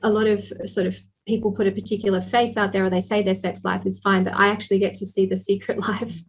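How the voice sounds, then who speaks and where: Husky woman explaining earnestly. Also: mid-range voice, little pitch movement, fast talker, rough recording. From Australia. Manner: earnest, explanatory, laughs lightly. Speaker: sex therapist guest. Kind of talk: podcast interview.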